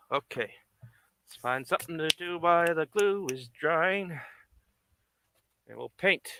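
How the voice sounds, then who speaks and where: A man making puppets on a casual livestream, muttering quietly to himself as he works at his bench. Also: fairly high pitch, animated, slow, pauses often, slight background noise. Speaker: puppet maker streaming his work. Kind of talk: casual livestream chat while crafting. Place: home work bench.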